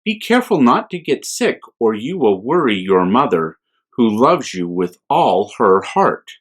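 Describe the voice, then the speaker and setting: A man's voice: medium pitch, big pitch swings, measured pace. A man voicing a concerned moose who gives friendly, emphatic warnings in a children's storybook.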